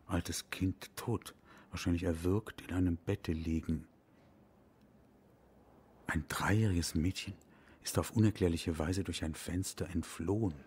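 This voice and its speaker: An actor playing a wry, bookish Viennese historian in a German audio drama, with a husky voice. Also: male voice, sits low, a little mellow, a little hiss or hum. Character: bookish, self-mocking male Viennese historian, wry and absorbed.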